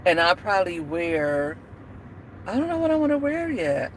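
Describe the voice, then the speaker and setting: A woman's voice: lowish voice, big pitch swings, normal speed, slight background noise, rough recording. A woman in her forties chatting casually on a livestream, laughing often and talking to viewers by name. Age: 40s. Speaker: livestream host. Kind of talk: casual live chat.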